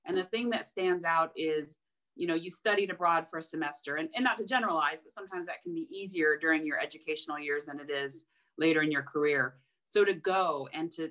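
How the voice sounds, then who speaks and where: Low bright-voiced woman on a panel, frank and chatty, lots of "you know". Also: poor audio. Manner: frank, conversational, many fillers. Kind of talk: panel discussion advice.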